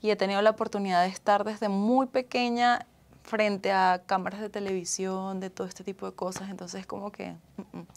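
A woman's voice: medium pitch, flat delivery, moderate pace. A young woman with a Venezuelan accent chats casually and expressively as she hosts a live stream answering viewer comments. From Caracas, Venezuela. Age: young adult. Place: small indoor room with couch and acoustic foam.

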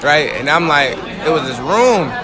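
Teen boy, rambling and hesitant, slightly high voice, crowded cafeteria. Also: animated, measured pace. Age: teens. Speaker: interviewee. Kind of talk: casual storytelling. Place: busy school cafeteria. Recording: loud crowd chatter behind.

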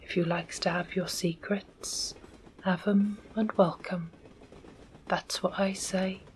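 A woman voicing a touchy working-class male railway porter in a soft whisper, sulky and full of dropped-letter dialect. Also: fairly low pitch, level tone, takes it slowly, slight background noise. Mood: huffy.

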